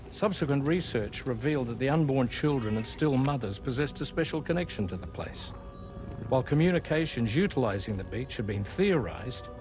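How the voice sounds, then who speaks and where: An actor playing a reserved, scholarly man who recounts old research in a formal, measured way for a video game. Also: medium pitch, a little mellow, lightly acted. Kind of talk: expository game cutscene dialogue.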